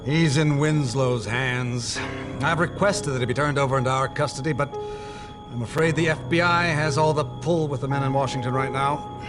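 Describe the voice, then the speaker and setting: A man's voice: medium pitch, lightly acted. Man playing dry, skeptical chief over music. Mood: stern, measured. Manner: measured, dry, stern. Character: skeptical, dry, formal male intelligence chief. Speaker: superior officer. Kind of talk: video game cutscene acting. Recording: game dialogue over background music.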